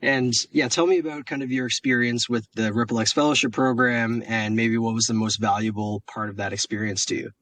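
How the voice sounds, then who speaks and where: A man's voice: medium pitch, steady tone, a little fast, hardly any pauses, fairly bright tone, poor audio. A young man who founded the program hosts the interview in a friendly, casual way, saying "awesome" a lot and often restarting words.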